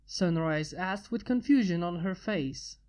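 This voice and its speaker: A woman reading fan fiction aloud in a steady storybook narration. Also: lowish voice, unhurried. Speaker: fanfiction reader.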